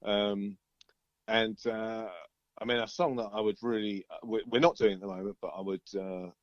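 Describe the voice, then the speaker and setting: A man's voice: lowish voice, little pitch movement, normal speed, no echo, dull audio. A man chatting casually in an interview as a band's singer, rambling and repeating himself as he goes. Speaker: band singer and songwriter being interviewed.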